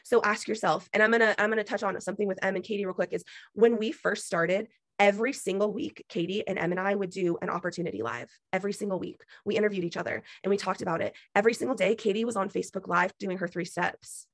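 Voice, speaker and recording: A woman lectures her team on a video call. She is emphatic and preachy, drives her points home with repetition, and her voice is going hoarse. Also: mid-range voice, quick pace, few pauses, dry recording. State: losing her voice. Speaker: business team leader. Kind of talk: motivational pep talk on a video call.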